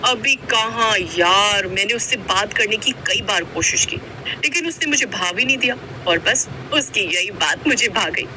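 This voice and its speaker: A woman's voice: medium pitch, animated, a little slow, bright, forceful tone, a little hiss or hum, low-quality recording. A woman voicing a bold, spoiled rich college girl in a dramatic, teasing cartoon style, mixing Hindi with English. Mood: lovestruck. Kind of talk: Hindi cartoon story voice acting.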